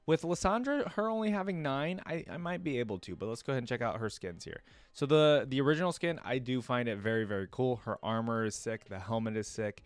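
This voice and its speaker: A man's voice: mid-range voice, expressive, fast talker, clear, resonant tone, no room sound. A man hosting a reaction video, talking casually and thinking aloud as he addresses his viewers.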